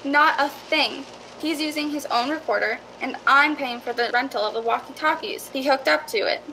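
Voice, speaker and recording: Radio drama property seller played by a woman: bright, high, chatty, persuasive, folksy. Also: normal speed, a little hiss or hum, poor audio. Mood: eager, reassuring. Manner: eager, reassuring, folksy salesmanship.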